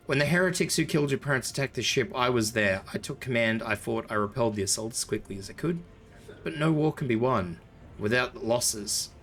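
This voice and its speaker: A hoarse man reading the lines of a stern, commanding male ship captain from a video game. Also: mid-range voice, fairly bright tone, slight background noise, lightly acted. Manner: stern, lecturing, authoritative.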